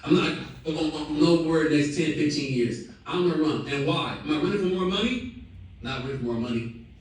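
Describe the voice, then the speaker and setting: A man's voice: fairly high pitch, moderate pace, very echoey, distant mic, poor audio. A man in his thirties giving a hyped, slangy motivational talk to his team on a call. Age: 30s. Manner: hyped, repetitive, slangy and exclamatory. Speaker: company leader addressing a team call.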